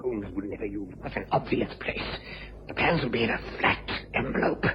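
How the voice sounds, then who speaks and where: A man's voice: fairly low pitch, a little fast, lightly acted. An actor playing a furtive, desperate male spy, urgent and agitated, in an old-time radio crime drama. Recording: muffled, noisy old radio recording.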